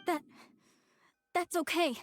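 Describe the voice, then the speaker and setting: A woman's voice: slow, slight background noise, lightly acted. An actress playing a devout, stubborn young woman in a video game, earnest and dramatic in her delivery. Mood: defiant, pleading. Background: soft game music.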